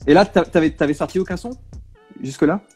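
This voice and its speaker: A man's voice: a little high, quick pace, a little mellow, soft background music. A man hosting a casual live stream, greeting viewers by name and asking his guest questions.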